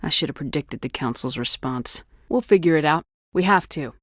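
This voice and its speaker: A woman's voice: sits low, expressive, normal speed, dry recording. A woman playing a tough, decisive military commander in a video game, with firm, dry, wry delivery. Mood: grim, determined. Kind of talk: video game dialogue acting.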